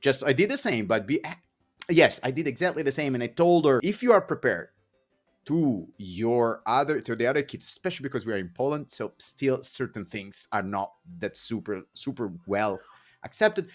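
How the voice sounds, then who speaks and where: A man in his thirties at mid pitch, hosting a casual podcast. He speaks haltingly, repeating words and restarting, with non-native phrasing. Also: expressive. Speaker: podcast host. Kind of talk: casual chat.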